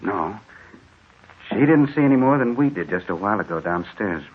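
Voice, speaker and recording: An actor playing an earnest, anxious male experimenter in a 1940s radio drama, speaking with intense, deliberate dramatic delivery. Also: on the low side, expressive, lightly acted. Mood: subdued. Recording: muffled, hissy 1940s radio recording.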